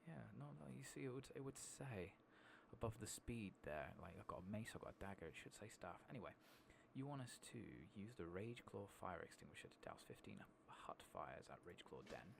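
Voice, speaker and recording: A man doing soft-spoken ASMR commentary over a game, casually rambling and thinking aloud with the odd swear. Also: on the low side, sing-song, normal speed, creaky, few pauses, background noise. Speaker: gaming streamer.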